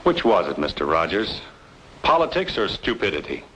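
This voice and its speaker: An actor playing a proud, bitter architect with a blunt, sarcastic manner in an old-time radio noir drama. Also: male voice, sits low, huge pitch swings, moderate pace, fairly bright tone, a little hiss or hum, exaggerated voice, rough recording. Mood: indignant. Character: bitter, proud male architect, sardonic and quick to anger. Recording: old radio recording with music behind.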